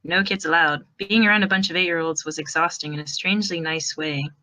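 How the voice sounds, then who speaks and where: A woman's voice: sits low, steady tone, fairly quick, pushed and bright, rough recording. A woman narrating fan fiction as a storyteller, in a conversational, wry way.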